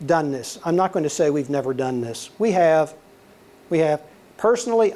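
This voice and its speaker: An older man with a soothing voice giving a conversational lecture, telling anecdotes and acting out dialogue. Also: fairly high pitch. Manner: relaxed storyteller, acts out quoted dialogue. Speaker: guest lecturer, former intelligence officer.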